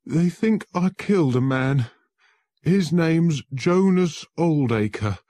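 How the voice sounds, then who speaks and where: A man's voice: low voice, sing-song, takes it slowly, a little mellow, no room sound, full character voice. A man voicing a frightened young male lawyer who pleads with desperate urgency. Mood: panicked.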